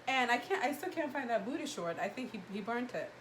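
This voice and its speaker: High-voiced young woman from Ghana, noisy recording. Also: big pitch swings, a little fast, a bit bright. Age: young adult. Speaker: vlog host asking questions. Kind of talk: casual chat with friends, storytelling.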